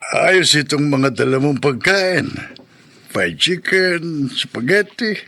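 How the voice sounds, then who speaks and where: An older, ailing father in a Filipino radio drama, greedy and headstrong. His voice is husky and crackly, pitched a little high for a man. He sounds stubborn and hungry for his treats. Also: animated, slow pace, sharp tone, heavily acted. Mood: relishing, gleeful.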